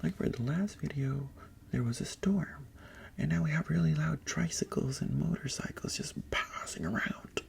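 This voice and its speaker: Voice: medium pitch, expressive, moderate pace. A young adult Tagalog speaker, rambling in a chatty whispered ASMR chat in a bedroom, often breaking off mid-sentence. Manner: rambling, chatty, self-interrupting whisper with sudden breathy exclamations. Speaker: ASMR creator. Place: bedroom indoors.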